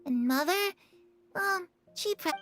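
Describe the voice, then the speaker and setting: A cheerful, curious young girl from a video game. Her voice is very high, chatty and girlish, with music playing clearly under it. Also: lively intonation, slow pace, full character voice. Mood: excited, curious. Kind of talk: animated video game voice acting.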